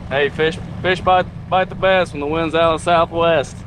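A man's voice: on the high side, fairly even intonation, moderate pace, a bit bright, a little hiss or hum. A man bantering and joking casually from a fishing boat on a lake. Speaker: fishing buddy.

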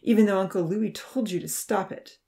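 A woman's voice: mid-range voice, moderate pace, creaky, mellow, lightly acted. Woman acting a smug, taunting young man. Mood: mocking, scoffing. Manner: scoffing, mocking.

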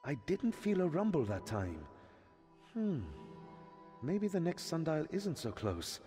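A man's voice: fairly high pitch, sing-song, takes it slowly, dark tone, husky, exaggerated voice. A man playing a whiny, wisecracking, easily flustered adventurer's companion in a video game, with theatrical, hesitant grumbling. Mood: wry, casual. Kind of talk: animated video game quest dialogue. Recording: long cavernous reverb, music behind.

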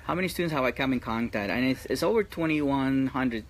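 A middle-aged man from Colombia, a high school teacher, chatting earnestly outdoors with frequent restarts. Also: lively intonation, a little fast, a little hiss or hum. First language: Spanish. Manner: earnest, talks in restarts and repeats. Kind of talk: casual interview chat. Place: outdoors under a tree.

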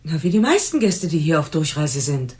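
Low-voiced, flustered motel receptionist. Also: female voice, big pitch swings, darkish tone. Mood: flustered, earnest. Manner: hesitant, flustered, halting recollection. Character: shaken female motel receptionist on night duty. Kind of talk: German radio crime drama acting.

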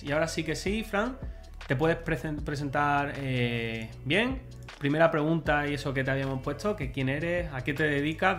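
Upbeat host guy on a video stream, a bit high voiced, faint music behind. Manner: lively, friendly, asks questions. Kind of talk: livestream interview hosting.

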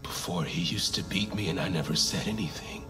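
An actor playing a traumatized, bitter and fearful male android, confessing in a halting, breathy whisper in a video game. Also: deep voice, over music, natural voice. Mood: bitter, hurt. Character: traumatized male android who killed his abusive owner, fearful and bitter. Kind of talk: dramatic video game acting.

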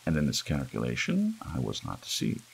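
A man with a gravelly, husky voice reads a horror story aloud in a theatrical, brooding ASMR audiobook style, with emphatic swells. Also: extremely low pitch, wildly swooping pitch, brisk, a little hiss or hum. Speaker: audiobook reader. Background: soft rain.

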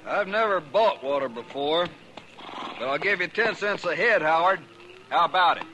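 A man's voice: fairly high pitch, animated, moderate pace, sharp tone, poor audio. An actor playing a plain-spoken, reluctant cattleman of the Old West in a 1950s radio western. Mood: resolute, regretful. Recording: hissy 1950s radio broadcast.

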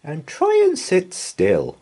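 A man voicing a cheerful woman who leads a nursery, in a bright, sing-song voice. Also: a little high, wildly swooping pitch, slow, pitched above the performer's own voice, exaggerated voice. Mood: cheery. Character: cheerful motherly woman nursery play leader.

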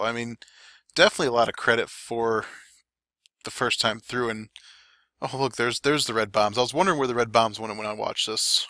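A man giving relaxed, chatty play-by-play commentary over game replays, his throat a little dry. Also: mid-range voice, dry recording. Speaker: clan war recap commentator.

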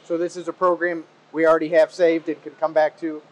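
A man's voice: on the high side, completely flat delivery, brisk, poor audio. A man in his thirties presenting and interviewing in a casual, upbeat, conversational way as a video host. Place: industrial workshop, indoors. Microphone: clip-on wireless mic on shirt. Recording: clear background noise in workshop.

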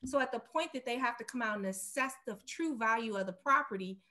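A woman's voice: a little high, fairly quick, bright, forceful tone. A middle-aged woman talking casually about her business as an interview guest on a video call. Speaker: guest real estate investor. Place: room at home, indoors, on a video call.

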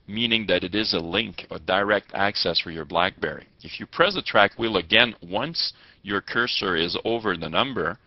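A man giving methodical step-by-step instructions as a trainer narrating a software tutorial. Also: deep voice, dry recording. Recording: dull lo-fi audio, dry.

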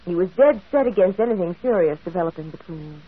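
A woman's voice: fairly low pitch, expressive, fast, slightly rough. An actress playing an earnest, concerned, polite young woman in an old radio drama. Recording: tinny, band-limited old radio broadcast.

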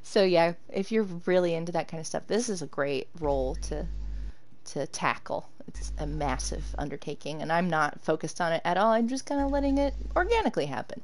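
A woman hosts a live game stream in a relaxed, talkative way and responds to viewers as their messages come in. Her voice sits fairly low, and she breaks into laughter often. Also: brisk. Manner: chatty, laughs often, answers chat. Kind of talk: casual live-stream chat while gaming.

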